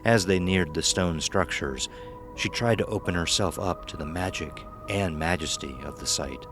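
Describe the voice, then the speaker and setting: Very deep-voiced male story narrator. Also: moderate pace, over music. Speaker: audio horror narrator.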